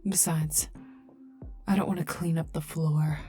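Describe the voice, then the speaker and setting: A woman playing a cold, sardonic, menacing female scientist in an ASMR roleplay, taunting the listener in breathy half-whispers. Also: sits low, unhurried. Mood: sardonic, coldly amused. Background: calm ambient music.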